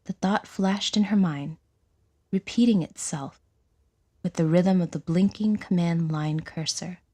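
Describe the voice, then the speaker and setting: Breathy, low-pitched woman narrating fiction. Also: measured pace, warm tone. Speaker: audiodrama narrator. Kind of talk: scripted dramatic fiction narration.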